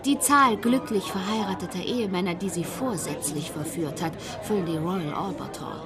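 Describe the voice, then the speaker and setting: Actress as wry, worldly confidante. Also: female voice, on the low side, normal speed, over music, lightly acted. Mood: arch. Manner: wry, arch. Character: dry, worldly female confidante of a playwright. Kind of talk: German radio play acting.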